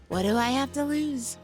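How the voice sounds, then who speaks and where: A woman playing a headstrong, impatient young mecha pilot and inventor in an audio drama. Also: sits high.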